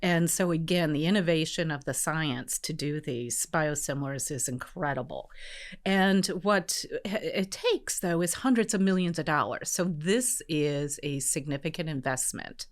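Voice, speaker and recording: Low-voiced woman explaining earnestly. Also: unhurried. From Detroit, US Midwest. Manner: earnest, explanatory, frequent agreeing. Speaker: guest, trade group executive. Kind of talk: interview answers.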